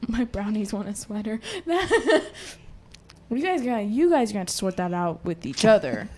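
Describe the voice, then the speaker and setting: A woman's voice: medium pitch, big pitch swings, moderate pace, darkish tone. A woman hosting a casual chat show, playful and teasing, often giggling. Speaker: host.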